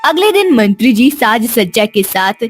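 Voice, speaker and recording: A woman's voice: a little high, very animated, normal speed, faint music behind. A woman reading scripted narration for a children's story.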